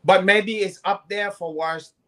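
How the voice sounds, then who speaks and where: A man's voice: high-pitched, fairly even intonation, unhurried, pushed and bright. Brazilian-accented male co-host, brash and profane, comic bravado. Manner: boastful, swearing, joking.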